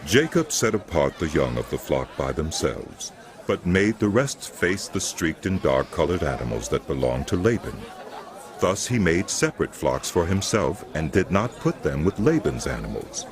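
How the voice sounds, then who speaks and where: A man's voice: sits low, big pitch swings, moderate pace, a crowd in the background. A man giving scripted narration for a dramatized audio Bible.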